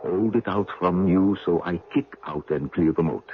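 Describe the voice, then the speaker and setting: A man's voice: deep voice, normal speed, natural voice. An actor playing a tall, wry, resolute French general in his sixties, planning an escape in an old-time radio drama. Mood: hushed, urgent. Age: 60s. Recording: dull, noisy old radio recording.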